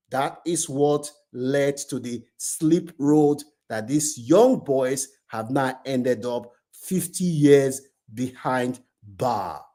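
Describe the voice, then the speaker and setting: A man with a slightly high voice works as a host, recounting a story in an informal spoken style. He is emphatic and often repeats phrases to drive a point home. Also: a little mellow.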